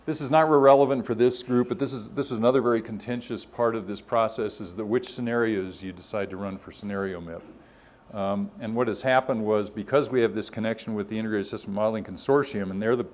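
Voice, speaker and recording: A man's voice: lowish voice, fast, a little mellow. A man presenting at a scientific workshop in an informal, conversational way, explaining things and voicing other people's positions as he goes.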